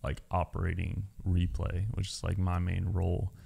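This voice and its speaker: A man telling a story in casual podcast chat, relaxed and full of fillers. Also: low-pitched, moderate pace, creaky, breathy edge. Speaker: podcast co-host.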